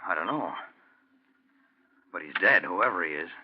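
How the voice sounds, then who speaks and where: An actor playing a folksy, plain-spoken prospector in casual 1940s radio drama style. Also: male voice, low-pitched, expressive, normal speed, slightly rough. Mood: grave. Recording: dull, band-limited 1940s radio recording.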